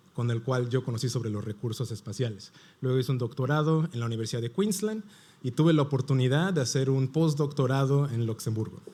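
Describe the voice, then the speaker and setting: A man from Mexico with a Mexican Spanish accent gives a talk at a conference panel. His voice is warm and mellow, with an ordinary pitch for a man. He explains things step by step, often asking a question and then answering it himself. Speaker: panel speaker. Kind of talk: conference presentation.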